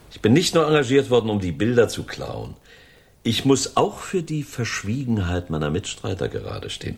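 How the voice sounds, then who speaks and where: An actor playing a self-assured, calculating gangster in a radio crime drama, condescending and quietly threatening. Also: male voice, on the low side, vocal fry, husky, exaggerated voice. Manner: smug, controlled, threatening.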